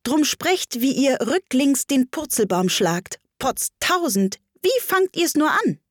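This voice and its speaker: Cheeky storybook boy, acted by a woman, reciting verse in a high sing-song. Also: sing-song, slow, dry recording, studio quality, heavily acted. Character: cheeky young boy reciting to his father.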